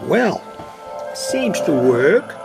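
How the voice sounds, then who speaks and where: A man with a Dutch accent talks through an electronics demonstration in a halting, off-the-cuff way. His voice is heavily gravelly and sits a little higher than most men's, with radio sound clearly heard behind it. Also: big pitch swings, over music. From Netherlands. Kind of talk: casual unscripted demonstration. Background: shortwave radio playing stations.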